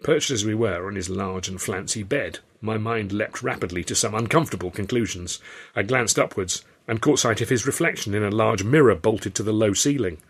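A man's voice: on the low side, a little fast, husky. A man with an English accent narrating a first-person story from a script in a wry, literary style. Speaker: author and audiobook narrator.

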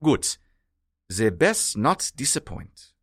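An actor playing a pompous, sarcastic German military villain with a theatrical German accent in a comedy. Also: male voice, medium pitch, big pitch swings, slow pace, fairly bright tone, husky, dry recording, studio quality, exaggerated voice. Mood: impatient, sarcastic. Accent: mock German. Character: pompous, impatient German military villain, childishly vain, secretly soft.

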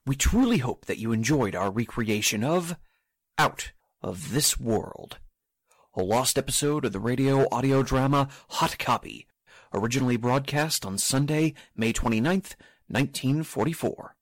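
A man's voice: medium pitch, expressive, unhurried, no echo. A man announcing for a radio theater show, reading scripted closing credits close to the mic.

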